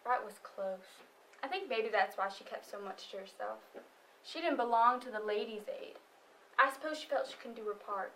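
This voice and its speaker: A woman's voice: on the high side, moderate pace, bright, forceful tone. A woman acting in a stage play as a blunt, defensive farm wife with a dry, folksy manner. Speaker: stage actor. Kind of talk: amateur stage play dialogue. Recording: distant microphone, noticeable room noise.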